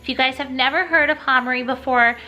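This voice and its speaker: A woman's voice: sits high, fairly even intonation, quick pace, pushed and bright, muffled recording, soft background music. A woman hosting a casual home decor vlog, chatting in an upbeat way with a nasal, congested-sounding voice. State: congested from being sick. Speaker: video host, home decor vlogger.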